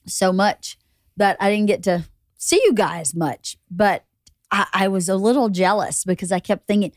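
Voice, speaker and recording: A hoarse woman hosting a casual podcast chat, teasing and asking quick questions. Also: medium pitch, fairly even intonation, no room sound, clean studio sound. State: hoarse, partly lost voice. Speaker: podcast host.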